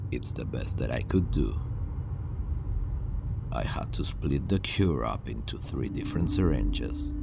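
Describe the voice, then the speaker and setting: A man playing a calm, obsessive scientist villain with superpowers, soothing yet menacing, speaking in a measured, deliberate ASMR roleplay monologue. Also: rumbling low, vocal fry, noisy background, exaggerated voice. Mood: matter-of-fact, regretful. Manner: measured, deliberate, pausing, reassuring. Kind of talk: ASMR roleplay, intimate scripted monologue to the listener.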